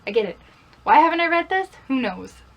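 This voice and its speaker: Young female vlogger, higher-pitched voice, casual and chatty, pokes fun at herself. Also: big pitch swings, fairly quick, dull audio. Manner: self-deprecating, playful, rhetorical questions to herself. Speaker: book vlogger. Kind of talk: casual chatty vlog to camera. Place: bedroom.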